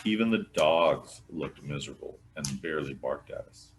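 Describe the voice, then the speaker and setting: Very deep-voiced man reading a mystery aloud. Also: lively intonation, normal speed, a little hiss or hum. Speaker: volunteer audiobook reader. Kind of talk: scripted read-aloud of a mystery novel.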